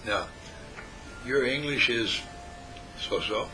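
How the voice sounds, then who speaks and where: A man giving a talk through an interpreter, speaking in short, deliberate phrases and pausing for translation. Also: mid-range voice, music in the background, rough recording. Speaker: speaker or teacher.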